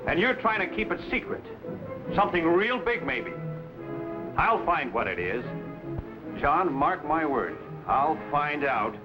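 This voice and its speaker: Pushy, sly, menacing newsman of the frontier. Very animated intonation, dull old broadcast sound. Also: fairly high pitch, measured pace, pauses often, over music. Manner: insinuating, menacing. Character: pushy, prying, threatening frontier newspaperman. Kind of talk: 1950s adventure drama acting.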